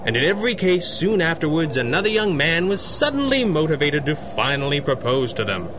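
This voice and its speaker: A man's voice: on the high side, very animated, brisk, noisy background. A man playing a wealthy, reserved bachelor who is secretly a masked crimefighter, in a 1930s radio adventure with a dry, proper, understated manner. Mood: flustered banter.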